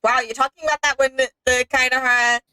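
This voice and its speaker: Bright, high-voiced woman bantering over a game. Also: steady tone, quick pace. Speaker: gamer commenting with a friend. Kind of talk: casual gaming banter.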